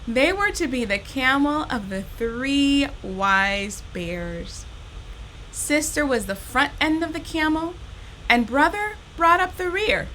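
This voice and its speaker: A woman hosting a storytime, reading a children's story expressively and speaking directly to young listeners in an animated, unhurried way. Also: high-pitched, slight background noise, rough recording. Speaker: storytime host reading a children's picture book.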